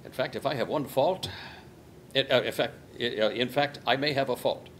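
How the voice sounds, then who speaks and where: A man playing a boastful, squeaky-clean hypochondriac astronaut at a comedy table read, earnest and stumbling. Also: normal speed, a bit bright, close to an everyday voice. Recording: clearly noisy table read recording.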